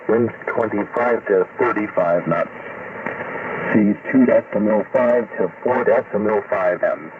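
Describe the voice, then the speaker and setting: A synthesized male voice reading a marine weather forecast in a measured, methodical way, received over shortwave radio. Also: fairly low pitch, far from the mic. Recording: noisy tinny shortwave radio reception.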